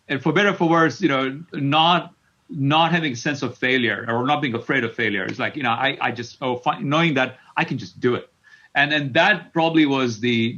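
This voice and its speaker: A man's voice: medium pitch, sharp tone, lo-fi sound. A middle-aged man from Kenya answering as an interview guest, repeating words as he thinks aloud. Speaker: tech company CEO, interview guest.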